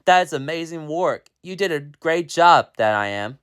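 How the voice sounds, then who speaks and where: A reader voicing a friendly, loyal schoolboy in a homemade spoken story, pitched up. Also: expressive, unhurried, smooth, natural voice.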